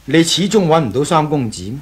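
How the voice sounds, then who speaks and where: A man's voice: a little high, very animated, fast, a little mellow, husky. An actor playing a reproving older father and family patriarch in a 1980s radio drama, with a Hong Kong Cantonese accent. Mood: puzzled.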